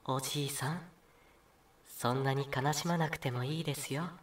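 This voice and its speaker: A man voicing a gentle female flower fairy in a storybook tale, soft, hushed and comforting. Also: medium pitch, steady tone, normal speed, far from the mic, close to an everyday voice. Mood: soothing.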